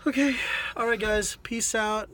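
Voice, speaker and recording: A woman's voice: fairly high pitch, steady tone, a little slow, fairly bright tone, a little hiss or hum. A woman co-hosting a casual, unscripted review chat, steering it with questions and prompts. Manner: questioning, keeps prompting the other speaker. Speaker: co-host steering a film review.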